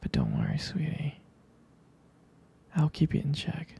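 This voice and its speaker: A young man playing a tender, jealous, protective boyfriend in an ASMR roleplay, speaking in a hesitant, intimate, breathy whisper. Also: a little high, huge pitch swings, unhurried, dark tone, full character voice. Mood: possessive, devoted. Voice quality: breathy, husky, gravelly whisper.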